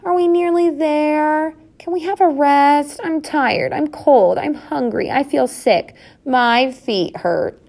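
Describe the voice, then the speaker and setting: A woman puts on a tiny storybook mouse child. The voice is high, small and piping. It sounds innocent and plaintive, like a very young one. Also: takes it slowly, creaky, hardly any pauses, a little mellow, full character voice. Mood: whining.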